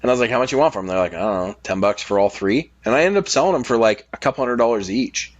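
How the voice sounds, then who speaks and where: A man's voice: medium pitch, lively intonation, rapid-fire, no echo, highs cut off. A man talking casually on a podcast, sharing personal anecdotes in a loose, conversational way. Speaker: podcast speaker.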